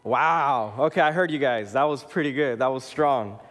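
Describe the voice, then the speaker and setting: Pastor preaching in a reverberant church hall. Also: male voice, mid-range voice, fairly bright tone, faint music behind. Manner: conversational, halting with fillers and restarts. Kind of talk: sermon. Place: church sanctuary.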